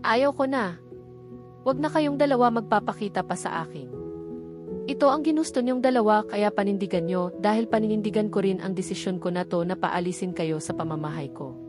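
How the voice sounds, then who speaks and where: A woman's voice: medium pitch, lightly acted. A Filipino woman voicing an angry, unforgiving mother in an audiobook drama, with a stern, snapping manner. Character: angry, unforgiving mother throwing out young couple. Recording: background music under voice.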